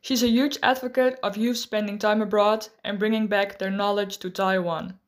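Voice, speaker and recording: A woman host giving a scripted, measured explanation and then asking interview questions. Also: medium pitch, steady tone.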